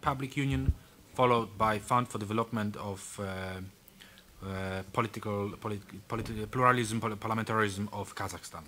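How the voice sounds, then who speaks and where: A man's voice: lowish voice, steady tone, normal speed, bright, forceful tone, a little hiss or hum. A man moderating a conference session, calling on speakers and stumbling over organization names. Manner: stumbling over names when giving the floor. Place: large conference hall.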